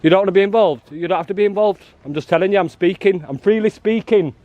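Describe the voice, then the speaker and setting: A man filming outside a prison, talking loudly and bluntly to the officers and to his viewers. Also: high-pitched, quick pace, narrow, tinny audio. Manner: loud, blunt and challenging, calls people "love" and "mate". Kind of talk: confrontational street filming with commentary to viewers.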